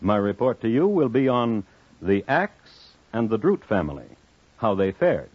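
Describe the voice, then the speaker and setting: An actor playing a dry, solemn crime historian who narrates a 1950s radio drama in a measured, deadpan way. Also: male voice, lowish voice, dry recording. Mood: wry, measured. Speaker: host narrator. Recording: muffled 1950s radio recording.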